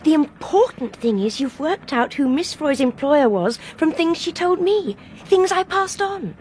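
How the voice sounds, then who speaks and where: A woman's voice: sits high, animated, unhurried, darkish tone. An actress playing an anxious but stubborn young Englishwoman on a train, in a British radio drama. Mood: earnest, insistent. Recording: noisy train background ambience.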